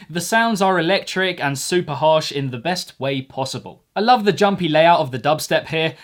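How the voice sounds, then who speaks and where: A man delivering a semi-scripted spoken music review as the reviewer, articulate and opinionated in his phrasing. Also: a little high.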